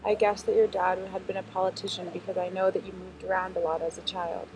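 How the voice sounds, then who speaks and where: A woman narrating a documentary, calmly stating her assumptions about the people she films. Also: on the low side, quick pace, a little hiss or hum, poor audio. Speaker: interviewer voicing her assumptions.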